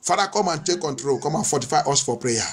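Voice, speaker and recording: Male pastor, fairly high voice, fervent prayer, insistent repetition, preaching delivery. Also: lively intonation, a little fast. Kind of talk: fervent bilingual prayer and sermon.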